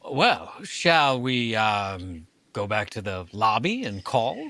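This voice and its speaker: A man's voice: on the low side, big pitch swings, takes it slowly, sharp tone, dry recording, full character voice. A man playing a jealous, exasperated husband in a 1940s-style radio sitcom, with emphatic delivery. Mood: sheepish.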